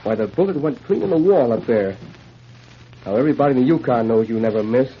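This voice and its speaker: Desperate, agitated fugitive in radio drama. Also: male voice, mid-range voice, lively intonation, husky. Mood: relieved. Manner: halting, agitated, pleading. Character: desperate, honest male fugitive, anxious and emotional. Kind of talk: old-time radio drama acting. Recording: muffled, noisy vintage radio recording.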